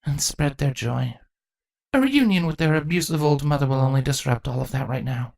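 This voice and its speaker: A man voicing a weary former changeling queen, female, who speaks in a dry, measured, firm way for a storybook reading. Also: medium pitch, animated, creaky, voice pushed up. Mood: gentle, resigned.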